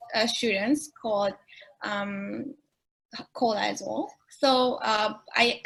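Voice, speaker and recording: A woman's voice: a little high, slightly rough. A young woman from Indonesia, a university student, chatting about hall life on a video call with many fillers. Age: 20s. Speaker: student ambassador. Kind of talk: casual sharing on video call.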